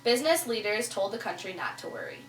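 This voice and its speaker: A woman's voice: mid-range voice, lively intonation, fast, natural voice. Woman as echoey 1920s news anchor. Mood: matter-of-fact. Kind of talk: scripted amateur mock newscast. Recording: roomy distant mic, faint music.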